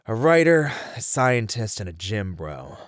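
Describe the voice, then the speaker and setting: Low-voiced weary detective, acted by a man. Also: very animated, measured pace, no echo, clean studio sound. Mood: somber. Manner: casual, musing, sighing delivery. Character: weary male detective puzzling over a serial killer case. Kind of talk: ASMR roleplay, one-sided conversational acting.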